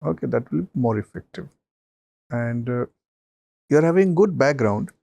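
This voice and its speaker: A man, a mock interview panelist giving measured advice with many pauses and fillers. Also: mid-range voice, lively intonation, gravelly, warm tone.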